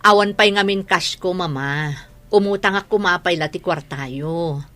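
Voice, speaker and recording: A woman's voice: on the low side, moderate pace, a bit bright, soft background music, natural voice. An actress with an Ilocano Filipino accent playing a wheedling married woman who gambles, in a radio comedy drama. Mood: pleading, wheedling.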